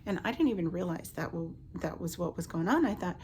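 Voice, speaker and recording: A woman in her late thirties telling a personal health story casually and haltingly, her voice scratchy from the tail end of a cold. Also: on the low side, expressive, a little mellow. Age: late 30s. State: getting over a cold with a lingering cough. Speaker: vlogger, stay-at-home mother.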